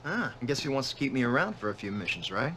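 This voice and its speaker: An actor playing a cocky, eager young space marine recruit in a scripted game cutscene, with a breezy manner. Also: male voice, mid-range voice, big pitch swings, quick pace, fairly bright tone, slight background noise. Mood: cocky, curious.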